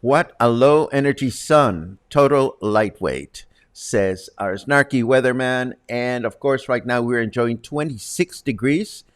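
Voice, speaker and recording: A man's voice: mid-range voice, a little slow, hardly any pauses. A man presenting as a show host in a chatty, conversational way with wry asides. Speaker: host presenting news and interviews.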